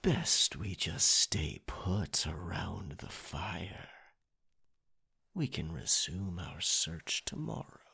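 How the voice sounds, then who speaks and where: A man playing a captain werecat in an ASMR roleplay, telling a scary tale in a breathy, gravelly, theatrical voice with deliberate pauses. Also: sits low, big pitch swings, sharp tone, heavily acted. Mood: sly reassurance. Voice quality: breathy, gravelly half-whisper. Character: pirate captain werecat, dominant, ominous campfire storyteller.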